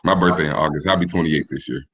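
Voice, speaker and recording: A young man in his late twenties with a very deep, low voice answers questions in a relaxed, laid-back way. He pauses and restarts his sentences often. He grew up in Michigan. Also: slight background noise. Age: 20s. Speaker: podcast guest. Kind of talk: casual interview chat.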